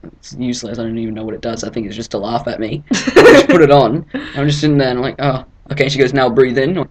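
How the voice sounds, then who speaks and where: A man's voice: mid-range voice, steady tone, fast, a little hiss or hum. Young aussie guy telling a funny story to a friend, sarcastic, keeps rambling. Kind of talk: casual comic storytelling.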